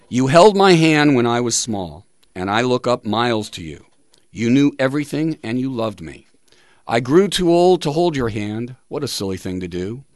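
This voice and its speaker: A middle-aged man hosting talk radio in a measured, deliberate way, sometimes reading tributes aloud. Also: medium pitch, dry recording, studio quality. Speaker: radio show host.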